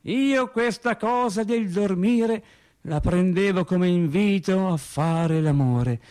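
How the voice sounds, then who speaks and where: An actor playing a wry, naive factory painter reminiscing in a radio theatre monologue, colloquial and rambling. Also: male voice, sits high, slow pace. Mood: tender, amused. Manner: colloquial, animated, rambling storyteller. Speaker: stage actor performing a monologue.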